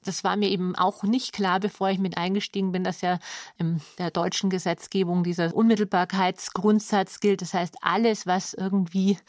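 A woman's voice: medium pitch, little pitch movement, normal speed, no echo, clean studio sound. A woman who works as a radio drama editor, explaining her work in an interview in a conversational way with examples.

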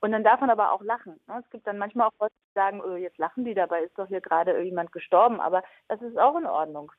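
A woman speaks quickly and spontaneously as an interviewed expert. Her voice comes through a narrow, phone-like band with a very dry sound. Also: mid-range voice, dry recording. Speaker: expert guest.

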